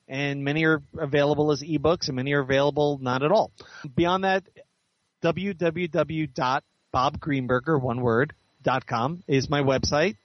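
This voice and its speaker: Higher-voiced man, casual interview guest, slightly dull audio. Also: dry recording. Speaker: interview guest, author and editor.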